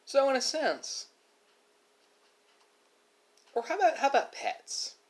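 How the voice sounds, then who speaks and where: A man speaking off the cuff to his viewers in a philosophical monologue, halting often and correcting himself as he goes. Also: extremely high pitch, sing-song. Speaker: ASMR video creator. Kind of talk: unscripted musing monologue to viewers during a mukbang.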